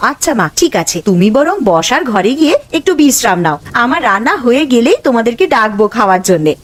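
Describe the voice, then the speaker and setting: Woman playing a high, animated cartoon housewife. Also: fast, slight background noise. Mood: concerned. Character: brisk, practical young housewife, teasing and caring. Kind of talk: Bengali cartoon voice acting.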